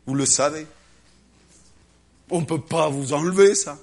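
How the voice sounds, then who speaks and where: A man preaching a lively sermon to a congregation, acting out little voices and swinging between loud and hushed delivery. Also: fairly high pitch, a little slow. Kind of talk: animated sermon.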